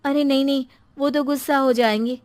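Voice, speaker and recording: A woman's voice: high-pitched, steady tone, a little fast, darkish tone, close to an everyday voice. A woman playing a timid, long-suffering middle-aged housewife in a dramatised story, meek and hesitant. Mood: worried. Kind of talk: dramatised Hindi moral story.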